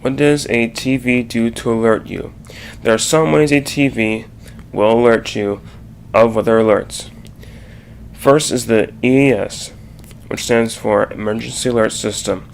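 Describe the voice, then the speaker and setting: A man narrating a slide presentation in a halting, explanatory way. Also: mid-range voice, steady tone, gravelly. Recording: faint background noise.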